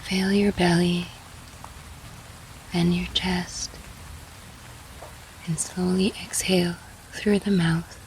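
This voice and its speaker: Slow, hushed woman guiding sleep meditation. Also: fairly low pitch, flat delivery, a little hiss or hum, low-quality recording. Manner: soft, breathy, half-whispered, soothing. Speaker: guided sleep meditation host. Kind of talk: guided sleep meditation narration. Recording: faint rain sound behind. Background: soft rain.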